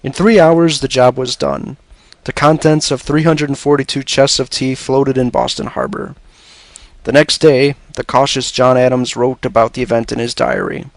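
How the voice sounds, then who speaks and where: A man reads a scripted educational narration in a measured, expository way. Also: mid-range voice, steady tone, creaky, no echo.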